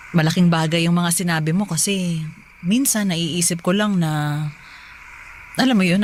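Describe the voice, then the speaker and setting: An actress playing a loving, gentle older sister and earnest young mother in a radio drama. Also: fairly low pitch, a little hiss or hum, natural voice.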